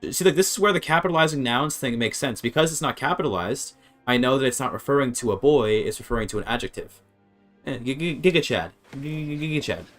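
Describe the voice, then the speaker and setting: A man's voice: medium pitch, animated, quick pace, a bit bright, faint music behind. A man casually streaming a game, thinking aloud as he reads his chat and works through grammar. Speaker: streamer learning a language. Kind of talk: casual live-stream commentary.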